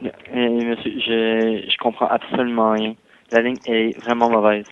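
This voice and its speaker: A man's voice: medium pitch, monotone, unhurried. A man with a Quebec French accent working as a hotel reservation clerk, speaking politely and in a businesslike way on a phone call. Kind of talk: customer service phone call. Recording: phone line with clear noise and clicks.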